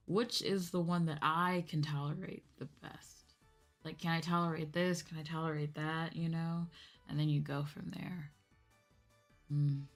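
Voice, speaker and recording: A woman streamer chatting casually and candidly to her viewers in a rambling, conversational way. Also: lowish voice. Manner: conversational, candid, ranting with swearing. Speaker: streamer and VTuber host.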